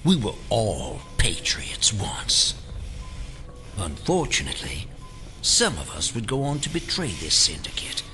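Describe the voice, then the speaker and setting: A man playing a solemn, menacing older conspiracy leader in an audio drama, speaking in a formal, deliberate and ominous way. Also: medium pitch, sharp tone. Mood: confessional.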